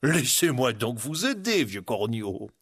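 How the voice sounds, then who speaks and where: A man's voice: mid-range voice, very animated, measured pace, fairly bright tone, husky, no echo, studio quality, full character voice. A man voicing a huge wolfish sheriff, a falsely cheerful and mocking storybook villain.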